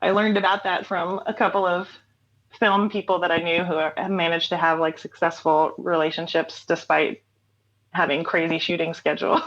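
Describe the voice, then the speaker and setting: A woman in her thirties with a low voice talks casually as an interview guest. She rambles warmly through fillers and laughs. The audio is dull and lo-fi, like a remote call. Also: flat delivery, normal speed. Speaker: interview guest, singer-songwriter.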